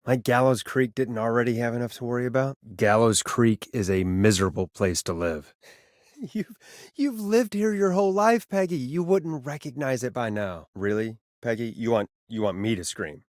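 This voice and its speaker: A man's voice: mid-range voice, expressive, normal speed, no room sound, clean studio sound, close to an everyday voice. A man playing a sardonic, world-weary but caring late-night radio host in a video game, talking in a wry, conversational way. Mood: sardonic, grumbling.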